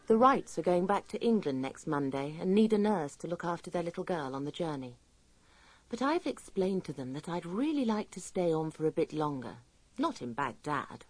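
An actress playing a brisk, sensible English nurse narrating her diary in a radio drama. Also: low voice, darkish tone, dry recording.

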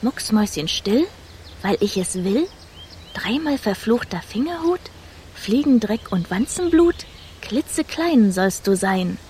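A woman playing a haughty, bossy little mouse princess in a children's radio play, with a pert, commanding manner and a husky voice. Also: medium pitch, big pitch swings, a little slow, darkish tone, slight background noise.

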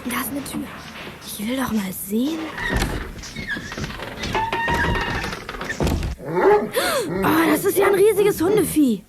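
A woman's voice: high voice, very animated, measured pace, heavily acted. A female performer playing a plucky, cheeky thirteen-year-old girl detective in a youth radio play. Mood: curious, cheeky. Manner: spirited, cheeky. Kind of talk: 1980s German youth radio play acting. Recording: noisy old audio drama recording.

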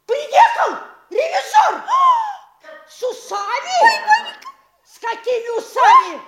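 A vain, excitable mayor's wife in a classic stage radio play, scolding and badgering in shrill bursts. Her very high voice leaps about wildly, delivered in an echoey room. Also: slow, very noisy, heavily acted. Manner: scolding, breathless, shrill exclamations.

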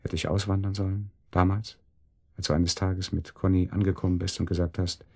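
An actor playing a loyal family friend in a radio drama, wry and soothing, with a low husky voice. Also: male voice, flat delivery, a little fast, a little mellow, exaggerated voice.

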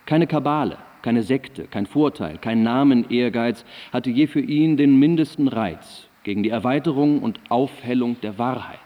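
An actor playing a learned, reverent admirer recalling an esteemed man in a radio drama, formal, literary and deliberate. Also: mid-range voice, narrow, tinny audio, close to an everyday voice. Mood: reverent, admiring. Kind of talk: radio drama recital of historical testimony. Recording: reverberant hall sound.

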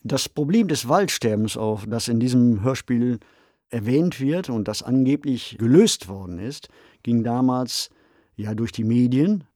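A middle-aged man co-hosting a podcast discussion in a measured, reflective, explanatory manner. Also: mid-range voice, lively intonation, warm tone, no room sound, studio quality. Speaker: podcast co-host and author.

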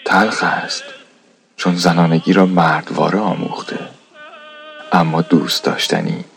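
Deep solemn storyteller for a radio play, poetic scripted lines, faint music behind. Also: male voice, fairly even intonation, a bit bright. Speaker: narrator. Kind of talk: scripted literary narration.

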